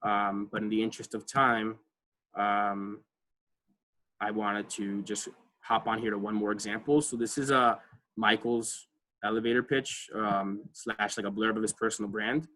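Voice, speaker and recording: A man with a bright, forceful voice and a slightly low pitch runs an online advising class from his computer mic. He talks casually, leans on fillers and keeps asking "right?" to check in with his listeners. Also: steady tone, a little slow, rough recording. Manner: conversational, many fillers, frequent "right?" checks. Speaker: college advising workshop presenter. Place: online video call.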